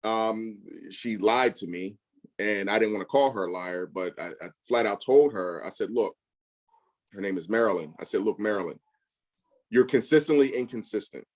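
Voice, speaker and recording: A man's voice: on the low side, moderate pace. A man talking casually and bluntly, full of slang and emphasis, acting out past conversations in quotes as he tells stories. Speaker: real estate investor.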